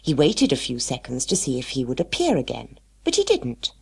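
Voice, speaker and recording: A woman narrating a children's story in an expressive storybook reading style. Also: a bass voice, normal speed, vocal fry, slightly rough.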